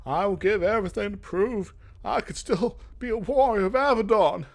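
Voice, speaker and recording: A man voicing a scarred young fantasy game warrior, bitter but earnest and eager to prove himself, with a solemn, measured, dramatic delivery. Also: high-pitched, pitched above the performer's own voice. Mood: earnest, determined.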